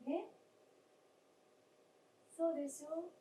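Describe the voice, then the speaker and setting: An actress playing the gentle, wistful spirit of a dead wife in a staged radio drama, with polite, old-fashioned feminine speech and deliberate phrasing. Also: female voice, very high-pitched, steady tone, heavily acted. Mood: gently reproachful. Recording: roomy stage, distant mic, faint noise.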